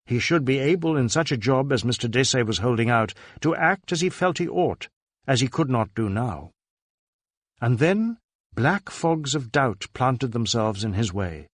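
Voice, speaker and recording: Male narrator, medium pitch, scripted detective fiction read, very dry studio-style sound. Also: animated, moderate pace, no room sound. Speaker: audiobook narrator. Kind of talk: scripted narration of a crime novel.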